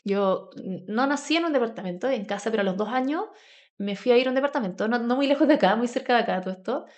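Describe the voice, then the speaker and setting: A woman's voice: a little high, lively intonation, fast, a little mellow, lo-fi sound. A Chilean woman talking conversationally as a podcast guest, telling personal stories in a colloquial way full of asides. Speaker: podcast guest, grounding advocate.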